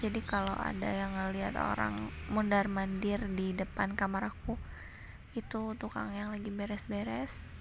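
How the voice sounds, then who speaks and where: A young woman from Indonesia giving a chatty, relaxed voice-over for her cleaning vlog, slipping in English phrases. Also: mid-range voice, vocal fry, muffled recording, background noise. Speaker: vlogger. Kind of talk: casual voice-over. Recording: voice-over with background music.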